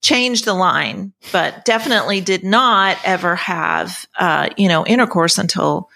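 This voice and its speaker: Bright-voiced woman in her sixties, reflective. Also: on the low side, animated, unhurried, gravelly. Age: 60s. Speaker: podcast guest. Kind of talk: conversational personal storytelling.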